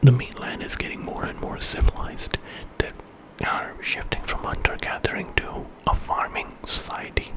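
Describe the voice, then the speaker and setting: A man telling an ASMR story in a hushed, unhurried whisper with pauses. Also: low-quality recording. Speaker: ASMR storyteller, channel host.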